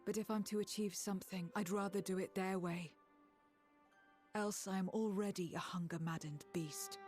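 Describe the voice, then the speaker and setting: A woman acting as a solemn, earnest figure in a fantasy game, speaking in a measured, formal, reflective way. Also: medium pitch, steady tone, a little mellow, lightly acted. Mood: solemn, resolute. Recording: game music behind the voice.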